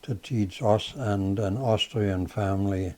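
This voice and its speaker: An older man with a Danish accent, a native Danish speaker, recalling his life in a slow, halting way with many pauses and fillers. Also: on the low side, a little mellow. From Denmark. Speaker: father being interviewed. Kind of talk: casual reminiscing interview.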